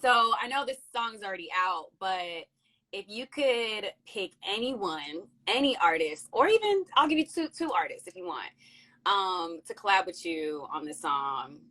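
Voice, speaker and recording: A woman's voice: medium pitch, lively intonation, measured pace, bright, forceful tone, low-quality recording. A young woman hosting a casual interview over a video call from a room at home, upbeat, chatty and playful.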